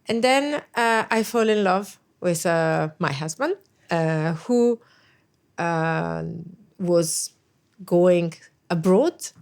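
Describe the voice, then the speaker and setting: A woman's voice: on the low side, expressive, drawn-out words, a little mellow, clean tone. A middle-aged woman, an English speaker, answering interview questions thoughtfully with frequent hesitations and restarts. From Italy. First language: Italian. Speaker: guest, NATO special representative.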